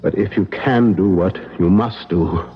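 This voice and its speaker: An actor playing a grave, commanding older professor who hunts vampires, speaking solemnly and deliberately in a 1970s radio drama. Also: male voice, low voice. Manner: solemn, deliberate, urgent instruction. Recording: dull noisy 1970s radio broadcast.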